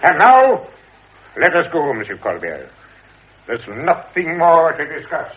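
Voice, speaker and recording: An actor playing an imperious, vindictive royal minister with a curt, commanding manner in a radio drama. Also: male voice, on the high side, wildly swooping pitch, a little slow. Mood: menacing, commanding. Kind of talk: 1950s British radio drama acting. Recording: muffled vintage radio recording.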